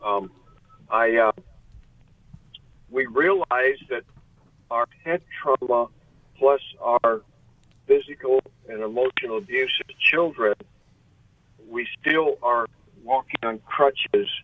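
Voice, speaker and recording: A man's voice: mid-range voice, slow pace, pauses often, dry recording. A man in his late sixties calling in by phone from a pulled-over car, talking in a rambling, earnest and candid way. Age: 60s. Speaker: caller. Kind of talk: call-in radio chat. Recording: dry tinny phone line from a car.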